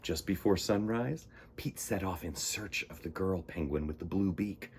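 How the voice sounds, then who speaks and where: A man's voice: low-pitched, moderate pace, darkish tone, a little hiss or hum. A man reading a picture book to children as a storytime host, with theatrical, dramatic emphasis.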